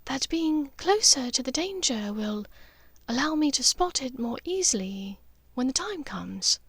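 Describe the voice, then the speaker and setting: A woman voicing a haunted, gently resolute witch mother in an audiobook, speaking earnestly and haltingly with care. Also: high-pitched, big pitch swings, slightly rough, no room sound, pitched above the performer's own voice, exaggerated voice. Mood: gently firm. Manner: halting, vehement, careful. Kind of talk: audiobook character dialogue.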